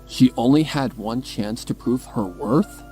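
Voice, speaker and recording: A man's voice: mid-range voice, measured pace, warm tone. Man narrating a suspenseful story over music. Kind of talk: dramatic scripted story narration. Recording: music behind the voice.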